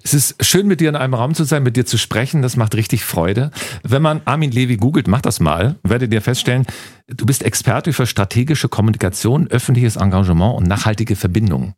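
A man's voice: medium pitch, brisk, a little mellow, dry recording, studio quality. A man hosting a podcast in a casual, friendly way, tossing questions and tag questions to his guest. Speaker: podcast host. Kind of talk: casual interview chat. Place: studio, in a room with the guest.

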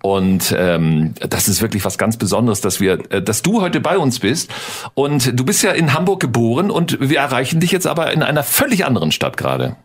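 Jokey podcast host guy, kinda husky, talks in a radio studio. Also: medium pitch, a little fast. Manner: jokey and enthusiastic, lively intonation. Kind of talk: casual podcast interview.

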